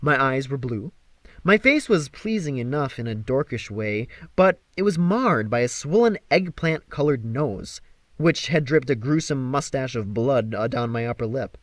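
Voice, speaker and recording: Male audiobook reader, expressive, dramatic, lively swinging intonation, dry sound, scripted novel narration. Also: mid-range voice, moderate pace, no room sound.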